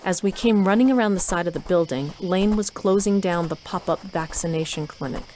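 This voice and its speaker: Female narrator with a lowish voice telling a scripted story over noisy ambient effects. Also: a little fast.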